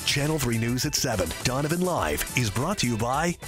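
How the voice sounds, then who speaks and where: A man's voice: mid-range voice, very animated, moderate pace. A man with an American accent reading a sponsor announcement for a TV station. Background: music.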